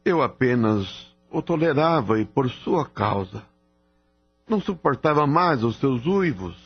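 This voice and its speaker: A man acting in a radio drama as a guilt-ridden father, grave and remorseful, with a husky, gravelly voice. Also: mid-range voice, heavily acted. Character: guilt-ridden father confessing a cruel deed. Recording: dull lo-fi radio drama, faint music.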